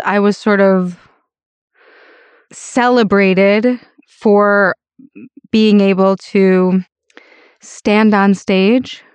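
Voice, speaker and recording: An American woman with a raspy voice, telling personal stories emphatically and conversationally as an interview guest. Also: medium pitch, dry recording, muffled recording. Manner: emphatic, peppers talk with "like" and "you know". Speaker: interview guest, actor and voice-over artist.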